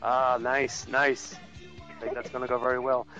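A man's voice: mid-range voice, level tone, normal speed, pushed and bright. A man acting as a radio host, casually giving polite, hesitant instructions over a phone call. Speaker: prank caller posing as radio station host. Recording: muffled lo-fi phone call with music.

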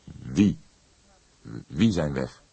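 A man reading the part of an old police detective in an audiobook, patient and hesitant, with measured, probing questions. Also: a bass voice, expressive, gravelly, mellow, husky, dry recording, full character voice. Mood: puzzled.

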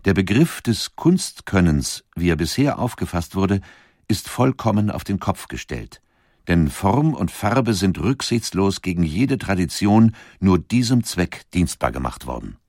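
A man reading scripted documentary narration in a measured, articulate storytelling manner. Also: sits low, sing-song, a little mellow, dry recording, clean studio sound.

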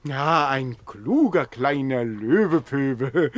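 A man's voice: medium pitch, animated, slow pace, a little hiss or hum. An actor playing a timid, tender-hearted man who coos in silly baby talk to soothe an animal, in theatrical radio play style. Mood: delighted, doting. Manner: cooing baby talk with silly rhyming pet words.

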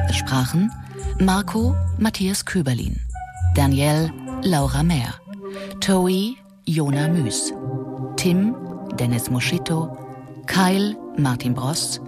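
A woman reading formal scripted credits as the announcer of a radio play. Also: low-pitched. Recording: studio voice over music.

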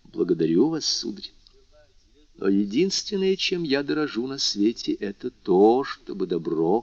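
A man voicing a courteous, firm abbot in an audiobook, speaking quietly in a formal, measured way. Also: fairly low pitch, vocal fry, no room sound, dull audio, full character voice. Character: courteous, firm, soft-spoken charitable abbot.

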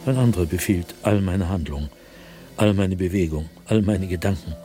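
A man's voice: deep voice, lightly acted. An actor playing an anguished, educated man who fears madness and an unseen being, in a brooding radio drama diary monologue. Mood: despairing, anguished. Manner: brooding, literary, deliberate phrasing with agitated emphasis. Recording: dry studio with music behind.

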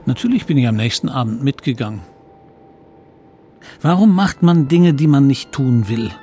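A man's voice: mid-range voice, lively intonation, moderate pace, warm tone, husky, faint music behind. A man playing the narrator of a radio play, reflectively recalling his own boyhood with some regret. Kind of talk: scripted first-person narration in radio play.

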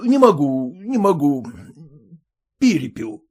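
A man's voice: a little high, very animated, slow pace, husky, full character voice. A man voicing a cheerful village schoolteacher who teases his old friend. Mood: teasing. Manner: teasing, talkative.

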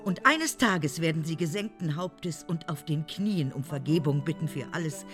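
A woman's voice: deep voice, moderate pace, over music, natural voice. An actress playing an older mother grieving a murdered son, solemn, accusing and emphatic, in a radio drama. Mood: bitter, solemn.

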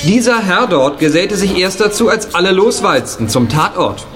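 A man's voice: sits high, animated, moderate pace, over music. An actor playing a confident young male detective in a radio play, questioning with polite insistence. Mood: assertive. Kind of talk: German radio play acting.

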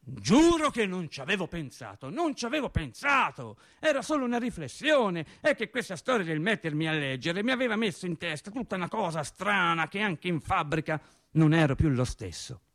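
Radio theatre monologue: rambling, earnest factory hand, high-pitched, colloquial, emphatic, doubling back on phrases. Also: male voice, very animated, normal speed, a bit bright, exaggerated voice. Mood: confiding. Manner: colloquial, emphatic, self-interrupting, repeats himself. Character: earnest, rambling working-class male factory worker recounting his life.

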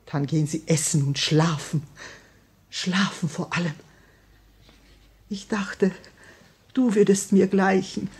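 A widowed grand duchess in a 1950s radio play. Her voice is very rough and breathy, slightly low, and she speaks slowly. She sounds proud, mournful and desperate. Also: a little mellow, full character voice. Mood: anguished. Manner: solemn, intense, mournful. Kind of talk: 1950 German radio play acting.

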